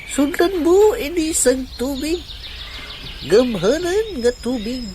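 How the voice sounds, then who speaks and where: A woman's voice: high voice, animated, slow, background noise. A woman playing a solemn young nephew in a comic audio drama, speaking earnestly with a Filipino accent.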